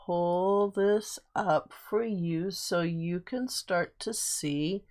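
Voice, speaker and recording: Slow-paced woman teacher, lowish voice. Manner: simple explanations with much repetition. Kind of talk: guided reading lesson for children.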